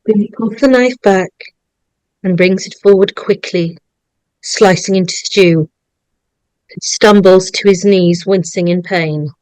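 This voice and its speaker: Slow-paced woman narrator. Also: medium pitch, darkish tone, no echo, low-quality recording. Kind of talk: reading stage directions at a script table read.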